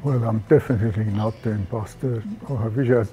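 A man's voice: lowish voice, fairly quick, dark tone, soft background music. An older man on a game show, answering in a hesitant, rambling way.

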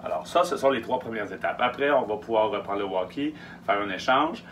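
A man in his thirties with a Quebec French accent gives informal, emphatic instructions with hesitant pauses, speaking through a face mask in a small truck interior. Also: on the low side, expressive, fairly bright tone. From Quebec, Canada. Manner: emphatic, repeats key words, hesitant pauses. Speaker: film production logistics technician giving tips. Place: small wooden-lined storage truck interior. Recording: faint hum and background noise.

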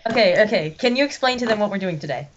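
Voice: mid-range voice, lively intonation, quick pace. A young gamer chatting casually as a co-player in a gaming video. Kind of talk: casual chat while gaming. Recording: dull, clearly noisy mic with clicks.

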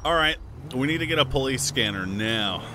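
A male streamer with a mid-range voice that moves lively up and down, chatting casually and laughing often. Loud car and street noise from the game sits under his talk. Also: medium pitch, moderate pace. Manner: laughs a lot, swears casually. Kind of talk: casual gaming stream commentary.

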